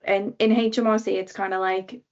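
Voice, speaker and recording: A woman's voice: mid-range voice, level tone, brisk, dull audio. A woman in her twenties with a Liverpool accent, casually describing her career at a careers event with lots of fillers. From Liverpool, England. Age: 20s. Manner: chatty, many ums and likes. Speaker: careers talk speaker, government analyst.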